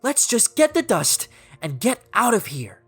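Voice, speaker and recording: An earnest young storybook fairy girl, acted out by a woman narrator. Her voice sits high and sounds worried, pleading with some urgency over music. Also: very animated, unhurried, slightly rough, full character voice. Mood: urgent. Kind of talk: storybook character voice.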